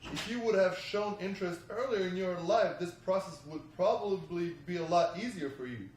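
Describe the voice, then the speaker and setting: A man reading a guarded, menacing gang leader at a script table read, stern and deliberate. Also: sits high, a little hiss or hum, lightly acted. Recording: echoey room, distant mic.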